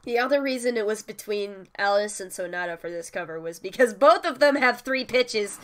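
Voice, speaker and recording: Woman, congested with a cold, casual tutorial host, chatty with swearing asides, mid pitch. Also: expressive, brisk. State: stuffy from a cold. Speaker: music producer teaching vocal synth tuning. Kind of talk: casual screen-share tutorial.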